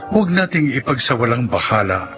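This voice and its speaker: A man's voice: medium pitch, very animated, a little fast, dull audio, music in the background. A man with a Filipino accent hosting a drama program, delivering a scripted moral reflection. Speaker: host.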